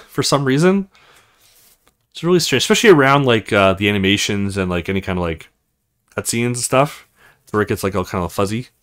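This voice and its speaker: A man playing a visual novel, commenting casually and reading lines aloud in an expressive, theatrical way. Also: mid-range voice. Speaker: let's play streamer.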